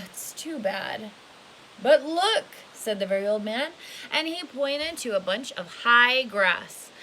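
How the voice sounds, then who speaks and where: A woman's voice: medium pitch, fairly bright tone, low-quality recording. A woman reading a picture book aloud to children, instructive and often checking in with questions. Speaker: read-aloud storyteller. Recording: home recording with faint hiss.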